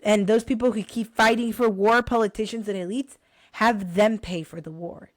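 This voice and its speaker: A young woman vlogger, hoarse from recent illness, talking casually and animatedly to her viewers about books. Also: mid-range voice, moderate pace, no room sound. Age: young adult. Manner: animated, addresses viewers as guys, many restarts. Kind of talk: casual direct-to-camera talk.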